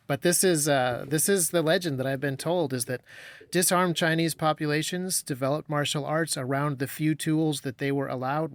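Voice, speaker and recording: Quick, wry male podcast host. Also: a little high, dry recording. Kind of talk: casual conversation.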